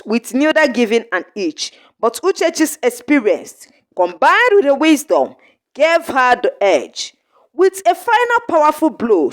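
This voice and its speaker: A woman narrating a scripted folktale in short, measured phrases with an earnest storybook delivery. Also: sits high.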